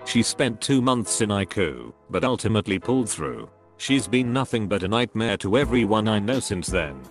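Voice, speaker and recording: Guy w a lowish voice reading stories out steady and even, bit of music behind. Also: normal speed. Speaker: narrator reading online stories. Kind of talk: scripted narration of first-person stories.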